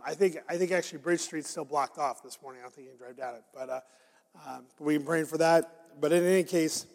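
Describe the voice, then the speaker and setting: A man preaching a sermon as pastor, his voice raspy and hoarse from a raw throat, talking conversationally with frequent fillers. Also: fairly high pitch, a little mellow. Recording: long hall reverb.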